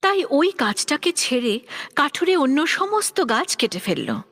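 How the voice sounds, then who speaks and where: A woman narrating children's fables as a scripted storyteller, expressive and deliberate. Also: high-pitched.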